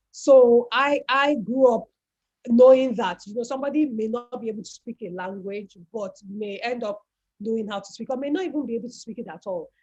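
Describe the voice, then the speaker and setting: A woman's voice: mid-range voice, a little mellow, breathy edge. A woman with a Nigerian accent, speaking as a guest on an online talk and telling her family's story reflectively, often repeating words as she searches for them. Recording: dull lo-fi call audio.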